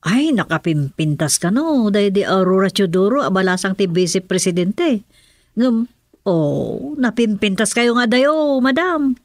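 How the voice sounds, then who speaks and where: A woman's voice: medium pitch, sing-song, measured pace, a little mellow, dry recording. An actress playing a chatty, feisty woman in an Ilocano radio drama. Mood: flattering.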